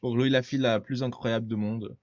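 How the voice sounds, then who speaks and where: A man with a Brazilian Portuguese accent hosts a relaxed podcast chat in French, which is not his first language, often pausing to find the right word. His voice sits at an ordinary male pitch. The recording is dry and a little muffled. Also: no echo. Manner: searching for French words, hesitant. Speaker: podcast host. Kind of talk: casual interview chat.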